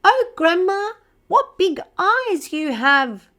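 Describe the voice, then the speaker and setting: A woman plays an innocent little fairy-tale girl with a high, sweet voice. She speaks in a sing-song, wide-eyed way, stretching her words out for a storybook. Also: very animated, takes it slowly, a bit bright, exaggerated voice. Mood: wondering, uneasy.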